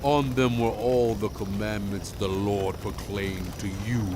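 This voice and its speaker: A man's voice: fairly low pitch, steady tone, noisy background, natural voice. A male actor playing a stern prophet addressing his people in a dramatized scripture reading, with grave, emphatic oratory. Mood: stern, solemn. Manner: grave, deliberate, emphatic phrasing.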